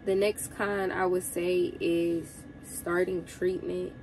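A young woman truck driver giving casual advice to her viewers, sounding congested from a cold, chatty and relaxed. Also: fairly low pitch, slow pace, a little hiss or hum, low-quality recording.

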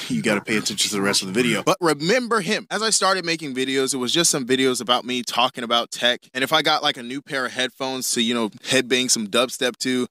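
Bright-voiced young man telling stories. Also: medium pitch, no room sound. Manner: chatty, self-aware asides. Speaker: cartoon storytime narrator. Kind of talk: informal first-person animated story narration.

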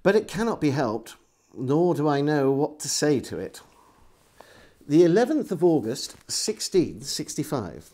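A middle-aged Englishman reads an old diary aloud. His pace is slow and deliberate, and his pitch moves freely in a theatrical storytelling style. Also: sing-song, mellow. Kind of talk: dramatic reading of a historical diary. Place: indoors, furnished room with bookshelves.

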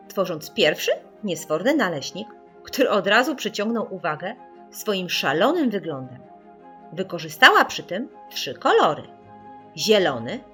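A woman reading a children's audiobook as an expressive storyteller, putting dramatic emphasis on key words. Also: on the low side.

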